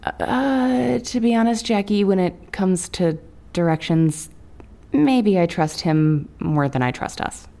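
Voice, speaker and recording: An actress playing a frank, practical peacekeeper among travellers, plain-spoken and direct, in an audio drama. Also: female voice, medium pitch, lively intonation, slow pace, vocal fry, mellow. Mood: dry, puzzled.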